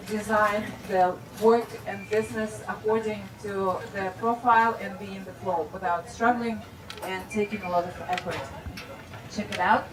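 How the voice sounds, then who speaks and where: A woman hosts and introduces the next speaker, hesitant and full of fillers. She is heard from across an open-air pavilion, her voice roomy and distant. Clear background ambience runs under her words. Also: medium pitch, noisy background. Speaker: event host.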